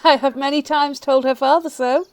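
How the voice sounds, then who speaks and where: A woman's voice: high-pitched, fairly even intonation, moderate pace, natural voice. A woman playing a gracious, courteous lady of a great house in a Shakespearean radio play, recorded at home. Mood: gracious welcome. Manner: courteous, formal.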